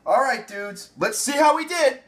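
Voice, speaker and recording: A man's voice: very high voice, lively intonation, moderate pace, a bit bright, slightly rough. A young man with an American accent casually explaining a recipe as a cooking show host, playful and slangy. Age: young adult. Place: home kitchen and grocery store, indoors.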